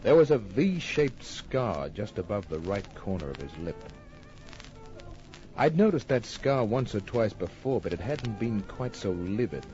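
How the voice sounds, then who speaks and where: An actor playing a wry, tough private detective narrating his case in an old-time radio drama, deadpan and wisecracking. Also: on the low side, measured pace. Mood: wry, matter-of-fact. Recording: muffled old radio recording with music.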